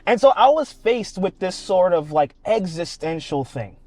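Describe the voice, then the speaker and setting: A man's voice: high-pitched, big pitch swings, a little slow, darkish tone, dry recording. A man co-hosting a casual podcast chat, musing aloud with frank, swearing talk.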